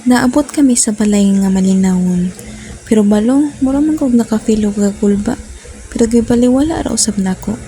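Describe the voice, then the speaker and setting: A woman's voice: a little high, moderate pace, warm tone, natural voice. A woman playing a young woman who narrates her own romance in a scripted radio drama. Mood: happy, slightly uneasy. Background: music.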